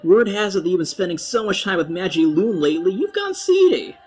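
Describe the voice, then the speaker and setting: A man's voice: sits high, big pitch swings, fairly quick, a little mellow, slightly rough, exaggerated voice. A man voicing a cocky, teasing fairy boy in a dramatic storybook reading, with a brash, mocking manner. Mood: teasing, dismissive.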